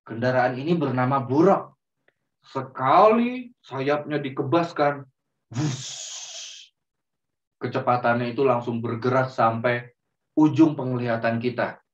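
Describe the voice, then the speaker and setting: A man telling a story to children in a gentle, drawn-out way, often turning to them as friends. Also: mid-range voice. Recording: roomy, distant, dull sound.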